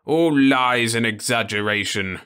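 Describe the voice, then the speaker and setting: A man's voice: medium pitch, measured pace, sharp tone, clean tone, pitched above the performer's own voice. A man voicing a grumbling, rebellious old man in a storybook reading. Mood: scornful. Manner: scornful, mournful.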